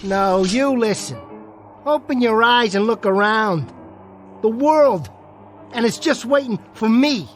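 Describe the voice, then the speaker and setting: High-pitched, bitter little American tough guy. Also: animated, takes it slowly, full character voice. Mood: defiant. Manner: streetwise slangy tough talk, defiant. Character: bitter, boastful small man, a disgraced jockey. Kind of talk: radio drama acting. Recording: music behind the voice.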